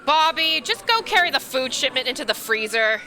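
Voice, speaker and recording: A woman voice actor playing a bossy, sarcastic young pizza restaurant supervisor in a comedic radio play, with a brisk, dry delivery. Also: sits high, very animated, bright, forceful tone, heavily acted. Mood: exasperated.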